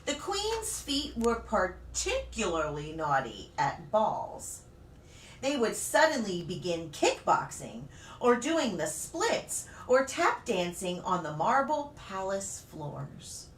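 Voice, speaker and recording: Lady reading a kids book out loud, takes it slow with dramatic pauses, echoey room, bit far from the mic. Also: medium pitch, huge pitch swings. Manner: deliberate phrasing with dramatic pauses. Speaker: pastor reading children's stories. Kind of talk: expressive storybook read-aloud for preschoolers. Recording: roomy, distant mic with faint noise.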